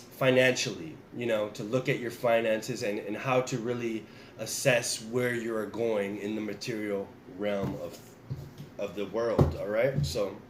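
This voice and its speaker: A man's voice: mid-range voice, reverberant, noisy background. A man giving a casual tarot reading, talking straight to his viewers while getting over the flu. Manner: relaxed, repeats and restarts, addresses viewers directly. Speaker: tarot reader.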